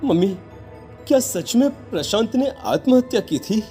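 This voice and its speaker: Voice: mid-range voice, expressive, unhurried, warm tone, soft background music, natural voice. An actor voicing a frightened, clingy teenage schoolboy in a horror cartoon, hesitant and repeating pleas. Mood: fearful. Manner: hesitant, repetitive pleading. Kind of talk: melodramatic horror cartoon acting.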